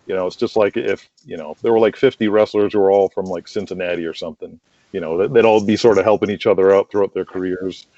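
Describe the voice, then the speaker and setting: A man's voice: low-pitched, fairly even intonation, quick pace, dark tone, dry recording, dull audio. A middle-aged American man telling rambling anecdotes casually as an interview guest. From United States. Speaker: interview guest, wrestling promoter and manager.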